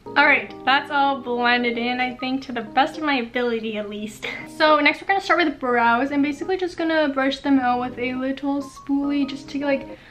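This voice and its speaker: A woman's voice: sits high, normal speed, fairly bright tone. A young woman in her twenties chatting through a makeup routine in her bedroom, bubbly and full of fillers and small laughs. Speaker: beauty vlogger. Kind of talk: casual makeup tutorial chat. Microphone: camera on a tripod at arm's length.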